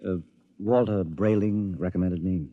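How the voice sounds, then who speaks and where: An actor playing an evasive, flustered husband who hems and hesitates, in 1950s radio drama style. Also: male voice, low-pitched, fairly even intonation, a little slow, highs cut off, slight background noise. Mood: nervous eagerness. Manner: hesitant, distracted, hemming.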